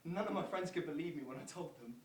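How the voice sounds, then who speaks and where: A man's voice: on the high side, lively intonation, fast talker, distant mic, natural voice. A man playing an earnest football fan recalling events in a verbatim stage monologue. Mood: excited, awed. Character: earnest football fans recalling a celebrity visit, verbatim theatre. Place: theatre performance space, distant microphone. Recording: roomy stage recording from afar, faint noise.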